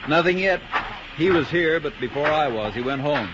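Man playing a brisk fire captain, very noisy recording. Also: fairly high pitch, expressive, close to an everyday voice. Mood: brisk, businesslike. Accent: American. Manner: clipped, businesslike. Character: busy, plain-spoken male fire captain. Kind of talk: 1950s radio drama acting. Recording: muffled, very noisy old radio recording.

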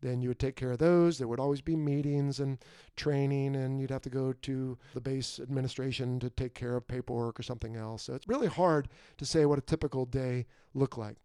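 A man's voice: mid-range voice, steady tone, brisk, warm tone, smooth, no room sound, clean studio sound. A middle-aged man hosting a podcast, speaking in a casual, conversational way as he presents and interviews. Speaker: podcast host.

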